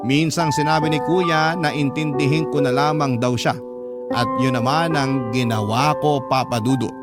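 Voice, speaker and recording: A man narrating a love-story letter in an indignant, expressive storytelling style. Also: medium pitch, moderate pace. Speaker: narrator reading a letter to the host. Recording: loud music underneath.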